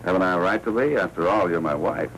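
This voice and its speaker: An American actor playing a jealous, suspicious small-time crook in a 1940s film noir. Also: male voice, deep voice, fast talker, a bit bright, slight background noise, rough recording. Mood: guarded. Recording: 1940s film soundtrack, music behind.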